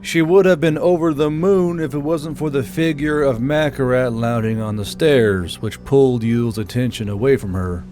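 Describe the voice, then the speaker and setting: A man's voice: mid-range voice, moderate pace, hardly any pauses. A man reading scripted fiction narration as the narrator of an audiobook. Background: soft background music.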